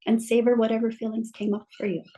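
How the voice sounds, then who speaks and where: A woman with a mellow, relaxed voice speaks slowly and gently. She guides her listeners through a calming reflection. She is heard over a video call. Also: a little high. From mother from Mexico, bilingual. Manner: gentle, slow, soothing guidance. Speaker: guest speaker.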